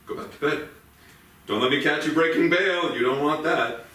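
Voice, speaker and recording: An actor playing a stern, bullying police officer in a staged play reading, curt, commanding and needling. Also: male voice, fairly high pitch, normal speed, pushed and bright. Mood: commanding, menacing. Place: theatre stage, indoors. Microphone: distant room microphone. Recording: very echoey theatre, distant mic, faint noise.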